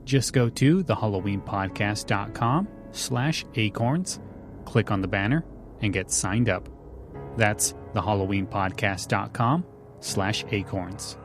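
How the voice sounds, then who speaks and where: A man's voice: fairly low pitch, measured pace, over music. A man hosting a podcast in a casual, conversational style. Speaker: podcast host.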